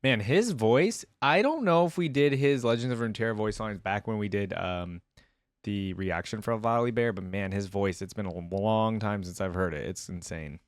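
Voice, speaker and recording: A man's voice: lowish voice, normal speed, no room sound. A man giving casual, slangy and enthusiastic reaction commentary as a host. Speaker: host reacting to game voice lines.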